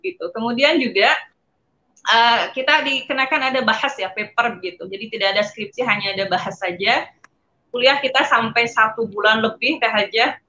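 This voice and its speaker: Woman, Indonesian accent, bright forceful voice, highish pitch, guest speaker explaining informally, lo-fi stream audio. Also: brisk. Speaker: guest speaker, former student abroad. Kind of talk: informal personal recounting. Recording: slightly dull, lo-fi stream audio.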